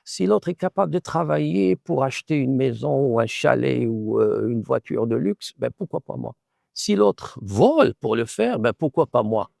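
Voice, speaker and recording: A middle-aged Moroccan-born man whose first language is Arabic speaks in a deeply gravelly voice. He answers an interviewer thoughtfully, circling and repeating words as he works out his point. The recording is dry, with no room sound. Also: expressive, normal speed, darkish tone, no echo. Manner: thoughtful, repeats words while searching for phrasing. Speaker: author and professor.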